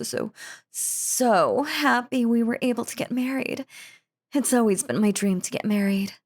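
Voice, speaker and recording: A woman acts out a possessive yandere wife in an intimate audio roleplay. Her voice sits a little low for a woman and crackles with fry. She dotes and gushes over her husband, sweetly menacing and cheerfully unhinged. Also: a little mellow. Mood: gushing, lovestruck. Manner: doting, gushing, cheerfully unhinged. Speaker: voice actor.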